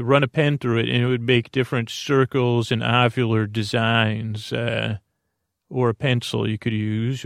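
A man with a gravelly, creaky voice drifts from thought to thought in an unhurried bedtime ramble. He trails off and restarts constantly, filling the gaps with soft "uh"s. The sound is dry and close, with no room around it. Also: medium pitch, dry recording. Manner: meandering, many fillers and restarts. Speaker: podcast host. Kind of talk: rambling sleep-podcast monologue.

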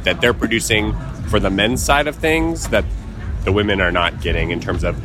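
A man's voice: lowish voice, lively intonation, normal speed, over music. A man, a football fan in a street interview, listing complaints critically.

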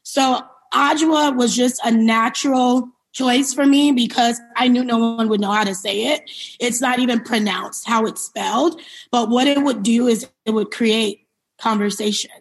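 A woman's voice: a little high, fairly even intonation, normal speed, rough recording. A woman who grew up in New York City, answering in a conversational interview as a company founder, reflective and explanatory. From born in Liberia, grew up in New York City.

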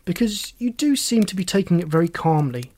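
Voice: medium pitch, very animated, brisk, dark tone. Narrator acting wry, commanding police inspector. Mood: probing, sardonic. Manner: folksy, teasing, pointed questioning.

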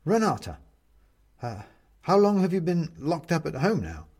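A man voicing a flustered, awkward, newly softened man who stammers hesitantly in an audiobook story. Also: a little high, huge pitch swings, normal speed, pitched above the performer's own voice, heavily acted. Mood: awkward, eager.

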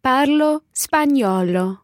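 A woman's voice: high voice, animated, takes it slowly, no room sound, studio quality. A woman co-hosting a language lesson as the learner, carefully repeating each phrase. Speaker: co-host learner.

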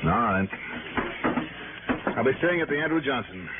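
An actor playing a brusque, world-weary private investigator with an American accent in a 1960s radio detective drama, curt and wry in manner. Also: male voice, mid-range voice, expressive, normal speed, very noisy, lightly acted. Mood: curt, exasperated. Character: brusque, world-weary male private investigator who narrates his case. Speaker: radio drama lead and narrator. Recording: tinny 1960s radio broadcast recording, music behind.

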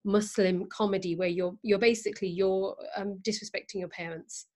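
Female host, British accent, mid-pitched voice, conversational and casual interviewing style. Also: steady tone, normal speed, darkish tone. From United Kingdom. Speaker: podcast host.

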